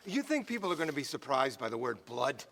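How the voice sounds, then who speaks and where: An actor playing a pompous, disgruntled New York stage actor, full of sarcasm and indignation. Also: male voice, mid-range voice, big pitch swings, fast talker, creaky, fairly bright tone, heavily acted. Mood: sarcastic.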